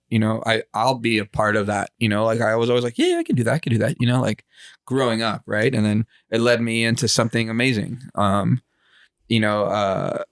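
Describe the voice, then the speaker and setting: A man's voice: on the low side, dry recording, studio quality. A man, chatting casually as a podcast co-host in laid-back, slangy talk. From grew up in Los Angeles area, California, born in Israel.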